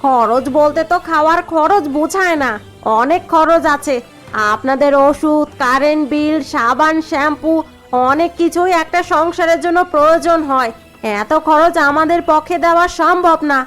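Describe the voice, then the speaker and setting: A woman's voice: high voice, moderate pace, fairly bright tone. A woman voicing a spiteful, blunt young daughter-in-law in a Bengali cartoon. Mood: defiant. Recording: music behind the voice.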